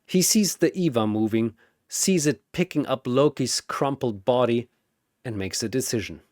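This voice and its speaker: A man's voice: mid-range voice, lively intonation, normal speed, darkish tone, no echo, studio quality. A man delivering dramatic scripted battle narration in a theatrical, punchy way.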